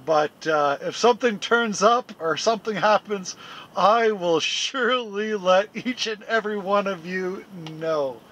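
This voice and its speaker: Man, 40s, camping vlogger, high voice, slow easygoing talk, frequent chuckles, fillers, small tent at night. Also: sharp tone. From Canada. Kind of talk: casual vlog chat to camera. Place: small winter tent at night, first outdoors in snow. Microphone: handheld camera close up, then fixed camera a few feet away.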